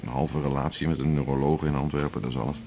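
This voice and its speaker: A man's voice: extremely low pitch, little pitch movement, quick pace, vocal fry, dark tone. An actor playing a workaholic middle-aged male internist in a 1980s radio drama, talking in a wry, self-mocking, confiding way. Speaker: hospital internist and chief.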